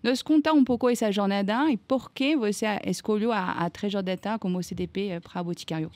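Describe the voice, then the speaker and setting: A woman's voice: medium pitch, fairly quick, darkish tone, no room sound. A woman hosting a business podcast in casual conversation, welcoming and introducing her guests with fillers along the way. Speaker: podcast host.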